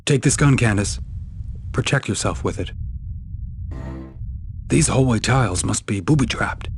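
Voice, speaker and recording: A man playing a steady, protective secret agent hero in a video game. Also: fairly low pitch, unhurried, faint music behind. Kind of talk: video game cutscene acting.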